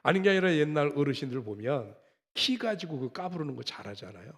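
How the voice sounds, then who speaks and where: A man's voice: fairly high pitch, sing-song, fairly quick, a bit bright, breathy edge. A man who is a pastor preaching a sermon in a chatty, conversational way, asking rhetorical questions and pushing his voice up for emphasis. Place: church sanctuary. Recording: reverberant church hall.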